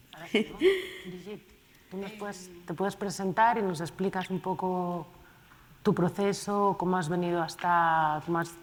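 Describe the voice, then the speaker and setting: A woman moderating a round-table panel at a conference, introducing guests and asking questions with filler pauses. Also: on the low side, a little hiss or hum. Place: conference hall.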